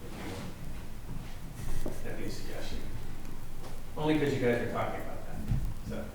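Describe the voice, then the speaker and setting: A man's voice: medium pitch, expressive, measured pace. A man speaking casually as a neighbor during public comment at a town hearing, recorded from a distance in an echoey hall. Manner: casual, helpful, says he has a strong voice. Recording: echoey hall, distant mic, background noise.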